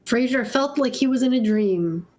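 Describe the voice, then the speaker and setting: A woman's voice: on the high side, animated, moderate pace, darkish tone. A woman narrating and bantering casually on a fanfiction reading show.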